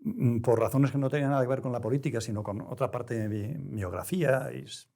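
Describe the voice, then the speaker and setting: Interview guest: older male, European Spanish accent, dark relaxed timbre, deliberate, erudite, searching for the exact word. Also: medium pitch, creaky. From Spain. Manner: deliberate, halting, with erudite careful word choice. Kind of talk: reflective interview conversation.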